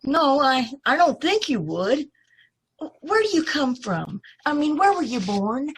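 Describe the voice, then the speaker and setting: A woman's voice: high-pitched, very animated, measured pace, low-quality recording. A woman playing an earnest, anxious, polite young woman in an amateur old-time radio play. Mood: nervous, hesitant.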